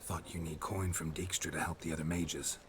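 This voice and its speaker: An actor voicing a gruff, stoic monster hunter in a video game, terse and deadpan with a gravelly low voice. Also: male voice, normal speed, a little hiss or hum. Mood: dry, guarded. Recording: music behind the voice.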